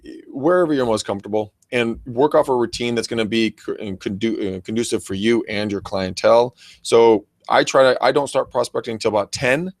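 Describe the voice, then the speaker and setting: A man with an American accent and a very loud voice chatting casually as a talk show co-host, enthusiastic, full of bro slang and swearing. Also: medium pitch, no echo. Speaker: co-host of a real estate training show and agent. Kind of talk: casual unscripted show chat with shout-outs. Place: private office.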